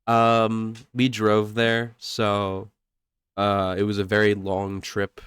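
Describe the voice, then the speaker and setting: A congested young man co-hosts a casual podcast, his voice low and smooth under the stuffiness. He rambles and corrects himself as he goes. The sound is close and dry, with no room around it. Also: completely flat delivery, takes it slowly, fairly bright tone, no echo. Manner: rambling, self-correcting, laughs often. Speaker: podcast co-host.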